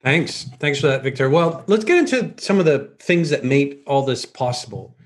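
A man's voice: medium pitch, very animated, moderate pace. A man in his forties hosting a podcast on a video call, upbeat, with long run-on questions. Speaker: podcast host. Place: home room indoors.

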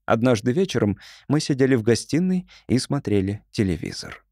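A man reading an audiobook story as narrator, in an expressive scripted style. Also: medium pitch, fairly quick, dry recording, studio quality.

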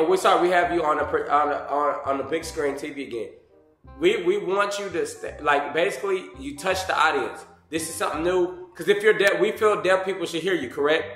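A young man making a casual prank phone call as host, talking in a halting, vaguely businesslike way. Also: high-pitched, fairly bright tone, echoey room. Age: young adult. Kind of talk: casual prank call posing as a booking agent. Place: wood-panelled room indoors.

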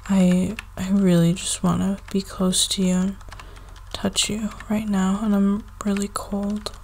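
A woman playing a needy, affectionate young girlfriend in an intimate ASMR roleplay, with a soft, halting, pleading delivery. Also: medium pitch, flat delivery, a little hiss or hum, lightly acted. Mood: coaxing.

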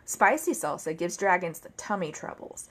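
A woman in her thirties reading a children's picture book aloud at home, expressively, with theatrical emphasis and deliberate phrasing. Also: lowish voice, expressive. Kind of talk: animated read-aloud to children. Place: indoor room at home, seated at a table.

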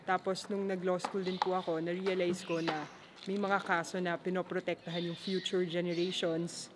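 A woman's voice: fairly low pitch, little pitch movement, a little fast, background noise. A young woman with a Filipino accent, speaking casually as a team member in an interview. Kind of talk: casual spoken introductions and interview answers. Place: cave excavation site. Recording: voices of busy dig site.

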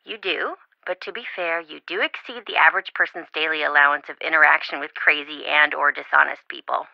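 An actress voicing a chatty young woman friend in a video game, talking on the phone, excitable, jokey and often worried. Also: low voice, brisk, creaky, no room sound, dull audio. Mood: teasing.

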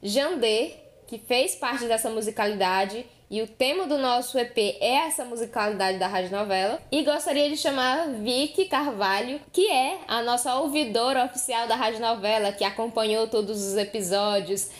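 A woman's voice: fairly high pitch, normal speed. A woman hosting a podcast in an informal, conversational storytelling way. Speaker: podcast host. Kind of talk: casual podcast narration.

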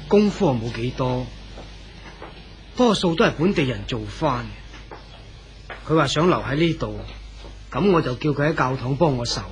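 Old hong kong cantonese pastor played by a guy, gentle and fatherly, radio play, bit of hiss. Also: medium pitch, lightly acted. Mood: kindly, helpful. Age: older. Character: kindly old male church pastor, talkative, humble. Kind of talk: 1970s radio drama acting. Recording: noisy old radio drama recording.

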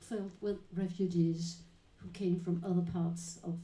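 A woman moderating a live panel talk, introducing guests and asking questions in a measured, explanatory way with many pauses. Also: fairly low pitch, level tone, mellow, a little hiss or hum. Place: small dim venue with live audience. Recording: echoey room recording.

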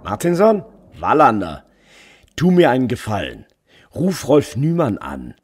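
An actor playing an insistent, slightly awkward male police detective with a husky voice in a German crime radio play. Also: mid-range voice, huge pitch swings, measured pace, full character voice. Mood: brisk, insistent.

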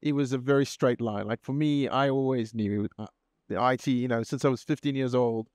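A man hosts a podcast in a relaxed, conversational way. He hedges and leans on filler phrases, and his voice sits at a mid pitch. The recording is dry and close. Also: fairly quick, no room sound. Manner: conversational, hedging with "sort of" and "right?". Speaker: podcast host. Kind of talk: casual podcast interview.

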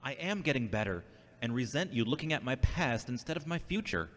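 A man's voice: mid-range voice, big pitch swings, brisk, fairly bright tone. An actor playing an earnest, defensive, self-doubting man recovering from a brain injury in a scripted audio drama. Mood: defensive, resentful. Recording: long hall-like reverb.

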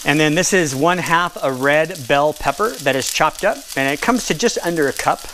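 A man giving a relaxed, casual cooking demonstration at a kitchen stove, explaining each step with the odd filler and self-correction. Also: fairly high pitch, moderate pace, slight background noise. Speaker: cooking and nutrition instructor. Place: home kitchen at a gas stove, seen from overhead.

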